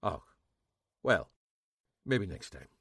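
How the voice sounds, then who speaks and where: A man's voice: deep voice, big pitch swings, takes it slowly, gravelly, fairly bright tone, breathy edge, no room sound, clean studio sound, heavily acted. A man voicing an older police inspector, firm and bluntly indignant, with a Scottish accent.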